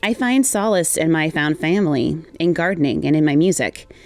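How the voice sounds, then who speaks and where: A woman's voice: sits low, expressive, moderate pace, darkish tone, no room sound, soft background music. A woman reading a personal testimony aloud as a guest contributor. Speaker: guest reader of a written community response.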